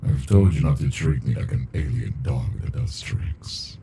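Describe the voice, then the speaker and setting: Extremely deep, slow alien monster. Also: male voice, expressive, warm tone, a little hiss or hum, full character voice. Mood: low, teasing menace. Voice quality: very deep, gravelly, breathy murmur. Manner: slow, deliberate, brooding, condescending yet intimate. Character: ancient, weary, captive male alien monster, aloof but fascinated. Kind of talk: ASMR character roleplay monologue.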